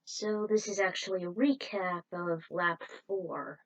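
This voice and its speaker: A woman's voice: fairly low pitch, steady tone, a little slow, no echo, poor audio. A woman reading a fan fiction story aloud in an informal way, breaking off for her own opinionated asides.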